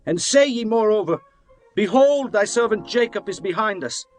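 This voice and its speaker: A man voicing Jacob, an anxious older patriarch who pleads humbly, over soft music. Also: sits high, animated, normal speed, voice pushed up. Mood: urgent.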